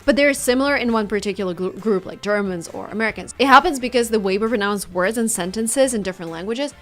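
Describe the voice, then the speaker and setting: A woman with a Russian accent, teaching an online English lesson and acting out example phrases with lively intonation. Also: mid-range voice, fast, soft background music.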